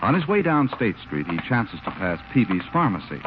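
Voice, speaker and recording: A man's voice: lowish voice, very animated, a little fast, noisy background. A man with an American accent, a polished, theatrical announcer narrating in scripted 1940s radio style. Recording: muffled 1940s radio with music. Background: music.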